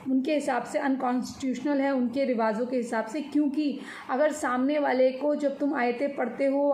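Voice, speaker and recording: A woman with an Indian accent giving informal news commentary, explaining in a halting, repetitive way and mixing in English words. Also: sits high, a little mellow. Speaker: commentator on social and religious news. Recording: echoey room with faint noise.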